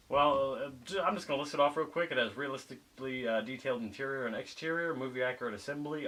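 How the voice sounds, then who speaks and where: Male reviewer, mid pitch, casual and hesitant unboxing talk, noticeable background noise. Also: fairly bright tone, rough recording. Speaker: YouTube product reviewer and host. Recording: clear background noise, clicks.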